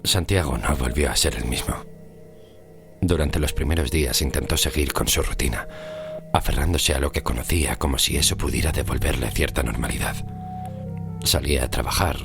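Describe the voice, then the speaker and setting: A man narrating a scripted horror story in deliberate, suspenseful phrases. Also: rumbling low, vocal fry. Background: loud music.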